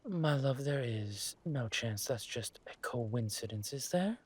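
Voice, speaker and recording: A man as a slow, husky, suave villain lord. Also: medium pitch, lively intonation. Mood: brooding. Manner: mock-polite, menacing, drawn-out delivery. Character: suave, ruthless villain lord, doting on his lover. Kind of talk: villain roleplay audio drama.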